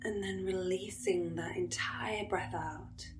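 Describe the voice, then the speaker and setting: Slow, soothing woman guiding meditation. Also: mid-range voice, steady tone. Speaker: yoga nidra meditation guide. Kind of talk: guided relaxation meditation.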